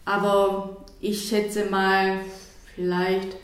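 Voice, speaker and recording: Korean-accented woman, slow and halting, lots of ums, really echoey room. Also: medium pitch, little pitch movement. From South Korea. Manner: hesitant, many fillers and restarts. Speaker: church worker. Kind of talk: radio interview answers.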